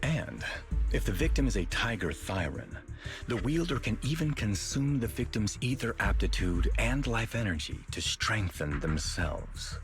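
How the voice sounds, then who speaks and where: An actor voicing a cynical, cold mastermind in a video game, speaking in a dry, measured, condescending way. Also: male voice, fairly low pitch, lively intonation. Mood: cold, explanatory. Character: cynical, cold male tiger-clan schemer, condescending mastermind. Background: music.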